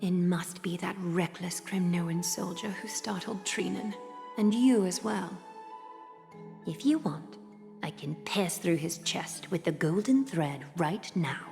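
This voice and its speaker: Game role: composed, melancholic guardian, calm, creaky, breathy, voice ringing in a long hall. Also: female voice, lowish voice, slow. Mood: cool, teasing menace. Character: composed, protective young woman, quietly melancholic and menacing. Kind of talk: video game voice acting. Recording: long reverb, faint music behind.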